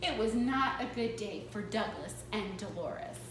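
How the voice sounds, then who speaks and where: Woman, expressive storybook reading, swooping lively pitch, slow pace, distant mic with heavy echo, faint noise. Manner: expressive, dramatic. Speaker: children's storyteller. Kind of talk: animated picture-book read-aloud.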